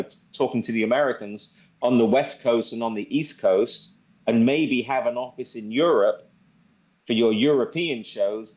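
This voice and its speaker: A man's voice: medium pitch, muffled recording. A man giving a casual, rambling sales presentation, explaining things in a repetitive way. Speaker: presenter demonstrating software.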